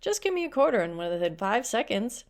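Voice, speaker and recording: A woman voicing a patient male sales robot in a storybook, with measured, winning salesman's patter. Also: a little high, very animated. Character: smooth-talking male sales robot, patient and single-minded.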